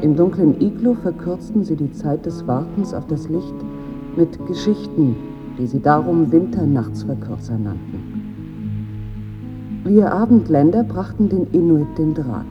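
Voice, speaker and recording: A woman playing a grave storyteller in a radio play, delivering poetic narration with deliberate phrasing. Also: sits low, animated. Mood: solemn. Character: solemn female storyteller telling a bleak legend. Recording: dull radio recording with guitar music.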